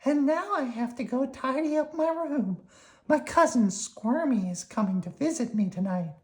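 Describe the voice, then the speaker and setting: Female reader as little male worm, very high, squeaky, thin, polite, cheerful, storybook character. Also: animated, moderate pace.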